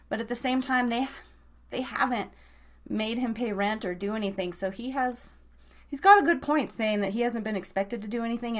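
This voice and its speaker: High-voiced woman hosting a casual live show. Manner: informal, slangy, rambling, addresses listeners directly. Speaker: host of a live online radio show and podcast.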